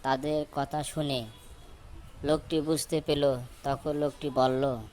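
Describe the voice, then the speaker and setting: A man's voice: on the high side, little pitch movement, slow pace, a little mellow. A man working as a storyteller, reading a scripted fable for children.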